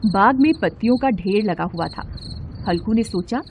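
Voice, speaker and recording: A woman narrating a literary story as an expressive scripted reading. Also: mid-range voice, normal speed. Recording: steady insect-like background noise.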